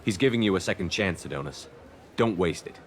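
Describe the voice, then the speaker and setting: A man playing a calm, firm military commander in a video game, with a controlled, reasoning delivery. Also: deep voice, brisk, a little hiss or hum. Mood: persuasive. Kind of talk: video game dialogue acting.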